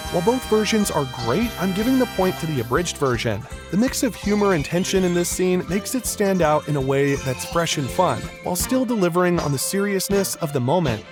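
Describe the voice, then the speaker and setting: A man reading a scripted video review as its narrator. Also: high voice. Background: music.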